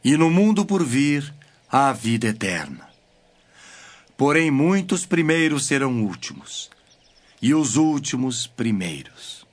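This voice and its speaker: An actor with a Brazilian accent playing a solemn, authoritative male teacher in a measured, declamatory scripture drama. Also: medium pitch, big pitch swings, slight background noise. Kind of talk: dramatized scripture reading in formal Portuguese. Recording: music bed behind the voice.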